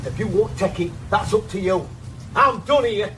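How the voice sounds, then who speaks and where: Actor as a high-voiced, blunt vet. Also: male voice, moderate pace, distant mic, background noise, close to an everyday voice. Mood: exasperated. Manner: blunt, forceful. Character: blunt, exasperated male country farm vet. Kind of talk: radio drama acting.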